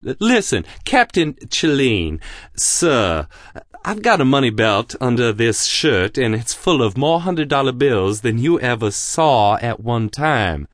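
A male audiobook narrator voicing a defiant, sarcastic low-class criminal who talks back roughly. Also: wildly swooping pitch, slow pace, no echo, clean studio sound, exaggerated voice. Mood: pleading. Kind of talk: audiobook character voice.

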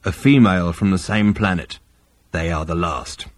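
A man voicing a brutish, grim alien starship captain in a storybook reading, with a blunt, terse manner and a croaking rumble. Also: normal speed, no room sound, natural voice. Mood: flat, reporting. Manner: blunt, terse, low-key.

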